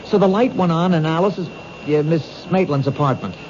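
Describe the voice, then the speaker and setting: An actor playing an earnest, dogged police detective in old-time radio drama style, hesitant and musing but insistent. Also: male voice, a little high, moderate pace, close to an everyday voice. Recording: dull, noisy old radio recording.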